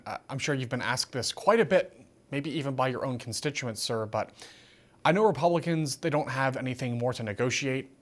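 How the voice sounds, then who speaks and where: An American man hosting a political TV interview, giving his own opinions while deferring to the guest. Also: medium pitch. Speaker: news show host.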